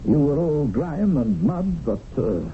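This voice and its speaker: High-pitched, hesitant, soothing man. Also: big pitch swings, a little slow. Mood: placating, doubtful. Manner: hesitant, placating. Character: soothing, hesitant, doubtful male caretaker. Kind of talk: old radio drama acting. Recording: tinny old radio broadcast, faint noise.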